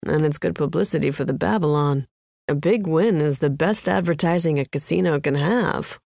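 A woman voicing a polite, businesslike male casino manager with measured, formal speech in an audiobook. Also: sits low, creaky, a little mellow, dry recording, studio quality. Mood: courteous.

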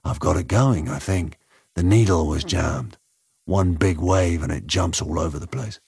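A man voicing an easygoing, sweet-natured working bloke who grumbles in an unhurried, laconic way in an audiobook. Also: deep voice, vocal fry, darkish tone, husky, no room sound, exaggerated voice. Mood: wry, easygoing.

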